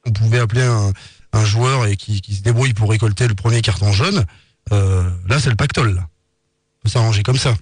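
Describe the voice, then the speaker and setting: A man's voice: on the low side, fairly quick, husky, no echo, studio quality. A man playing a polite, insistent marketing assistant for a betting company on a prank phone call, coaxing with hesitant sales talk. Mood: insistent, conspiratorial. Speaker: prank caller.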